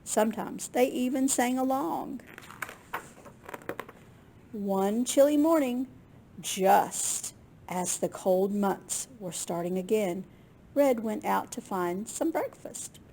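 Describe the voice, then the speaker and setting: A woman with a slightly husky, gravelly voice reading a picture book aloud to young children in an expressive way. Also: medium pitch, takes it slowly, long pauses, a little hiss or hum. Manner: expressive, with gasps and questions to young listeners. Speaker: children's librarian storyteller.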